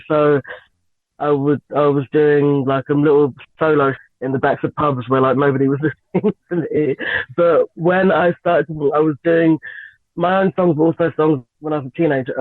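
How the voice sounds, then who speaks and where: A young woman from Lagos and London, a singer, chatting as an interview guest with frequent giggles and lots of halting fillers. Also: sits low, no room sound. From Nigeria and England. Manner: giggly, hesitant with many fillers. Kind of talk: radio interview, casual chat. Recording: tinny phone-line sound, dry.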